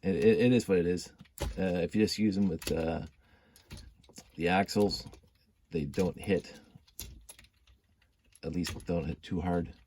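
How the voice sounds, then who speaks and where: A man's voice: sits low, fairly even intonation, unhurried, pauses often, warm tone, slight background noise. A man with a stuffy cold, thinking aloud while he builds, rambling and humming as he works. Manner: rambling, self-deprecating, hums and mutters while working. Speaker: building hobbyist vlogger.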